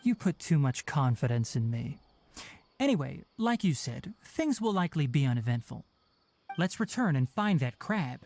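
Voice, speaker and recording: A man voicing a calm young forest ranger and mentor in a video game, precise and matter-of-fact as he explains things. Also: mid-range voice, moderate pace, a little hiss or hum. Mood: dry, matter-of-fact.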